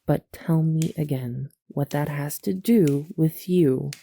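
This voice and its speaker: A woman playing a stern, sceptical, guarded family authority in an audio roleplay, measured and curtly reproving. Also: deep voice, vocal fry, mellow, full character voice. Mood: stern, disappointed.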